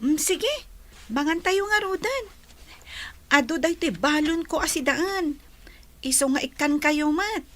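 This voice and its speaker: A woman's voice: high voice, expressive, a little slow, long pauses, a bit bright. A woman voicing a young, friendly schoolchild in a radio drama. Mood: friendly, generous. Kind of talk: Filipino radio drama acting.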